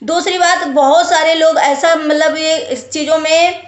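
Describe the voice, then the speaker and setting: A woman's voice: high-pitched, fairly even intonation, unhurried. A woman vlogger talking casually to viewers and giving advice, explaining with emphatic repetition. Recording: roomy, echoey sound.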